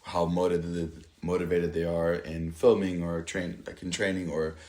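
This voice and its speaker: A man from Italy who works as a stunt performer, chatting casually at home about his career with hesitant pauses, fillers and restarts. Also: deep voice, little pitch movement, darkish tone, reverberant. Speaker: stunt performer, podcast guest. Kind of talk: casual interview chat.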